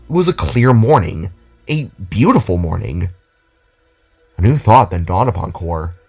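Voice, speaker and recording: A man's voice: fairly low pitch, husky. A man reading scripted story narration as the narrator of an audio drama.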